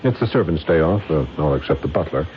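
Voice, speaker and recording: An actor playing a cold, determined scientist husband who methodically plots murder in a radio drama. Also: male voice, a bass voice, expressive, fast. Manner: methodical, matter-of-fact. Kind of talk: 1970s radio drama acting. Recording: dull, hissy old radio recording.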